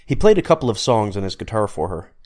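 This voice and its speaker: A man's voice: fairly low pitch, big pitch swings, fast, a little mellow. A man reading scripted story narration as the narrator.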